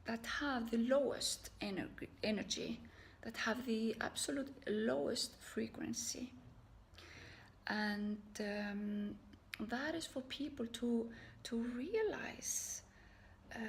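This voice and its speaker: A woman teaching yoga and energy healing, talking to camera in an earnest, halting way with many fillers and soft, half-whispered phrases. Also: a little high, vocal fry, a little hiss or hum. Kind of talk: unscripted talk to camera.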